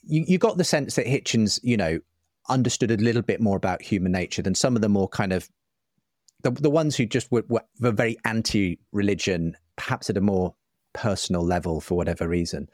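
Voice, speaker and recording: Low-voiced man, thoughtful. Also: brisk, darkish tone, no room sound, studio quality. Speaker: interview guest, writer and broadcaster. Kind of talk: conversational interview.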